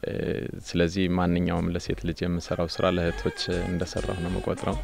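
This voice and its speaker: Deep, creaky, fast-talking man. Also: completely flat delivery, a little mellow, soft background music. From rural Ethiopia. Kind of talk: personal recollection in interview.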